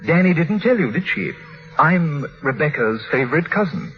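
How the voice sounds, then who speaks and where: An actor playing a smirking, caddish gentleman in an old radio drama, flippant and mockingly courteous. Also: fairly high pitch, very animated, normal speed, over loud music. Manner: flippant, mock-courteous, drawling. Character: smirking, caddish gentleman cousin, breezy and insolent. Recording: dull vintage radio broadcast.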